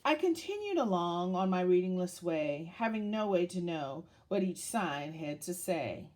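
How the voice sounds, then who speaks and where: A woman reading a children's picture book aloud in a dramatic, deliberate storytelling manner, as if to young listeners. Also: fairly low pitch, big pitch swings, a little mellow.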